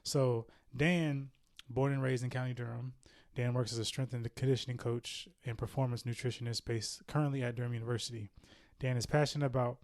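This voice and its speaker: A man's voice: mid-range voice, steady tone, a little fast, hardly any pauses, darkish tone. A man hosting a podcast, introducing his guest in an upbeat, conversational way and reading out the guest's bio. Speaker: podcast host. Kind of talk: podcast introduction and casual chat.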